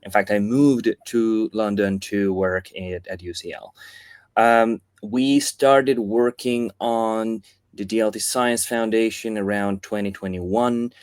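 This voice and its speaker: A man from Argentina with an Argentine accent, his voice sitting low and heard dry and close over a video call. He talks in a casual, thoughtful way, often stopping to rephrase mid-sentence. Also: fairly even intonation, slow pace, smooth, dry recording. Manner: thoughtful, with frequent restarts and fillers. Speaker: guest, foundation chief of staff. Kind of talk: casual interview talk.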